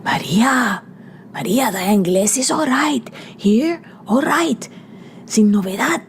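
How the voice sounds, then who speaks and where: A tough older guerrilla woman, voiced by the narrator, with a brusque, scornful edge. Her tone stays dark and mellow. She comes across as blunt and dismissive in an audiobook reading with a faint hiss behind it. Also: fairly low pitch, animated, moderate pace, lightly acted. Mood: calling out.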